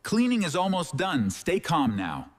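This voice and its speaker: A man playing a patient cartoon dentist with a fairly high, lively voice. He speaks calm, simple broken English and sounds gentle and reassuring. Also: unhurried, long reverb tail, a little hiss or hum.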